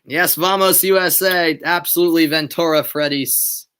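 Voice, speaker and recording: Young American male, amateur play-by-play caller, bright tense tone, excitable on chances, webcam in a bedroom. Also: a little high, moderate pace, clean tone. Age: young adult. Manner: excitable build-ups on shots, chatty asides to viewers. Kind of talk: live play-by-play commentary mixed with chat. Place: bedroom at home.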